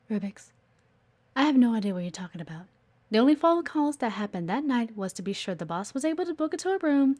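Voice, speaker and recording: A woman's voice: medium pitch, darkish tone. A woman playing a blunt, guarded but earnest executive assistant in an audio roleplay, assertive and exasperated. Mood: exasperated, curt. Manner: exasperated, assertive, frequent sighs. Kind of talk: audio roleplay acting addressed to the listener.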